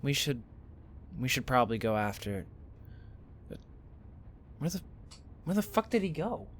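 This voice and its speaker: Actor as suspicious sarcastic young guy, swinging intonation, halting, incredulous, sweary, noisy recording, audio drama. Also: medium pitch, very animated. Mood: bewildered. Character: exasperated sarcastic young man, suspicious best friend. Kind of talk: naturalistic audio drama dialogue.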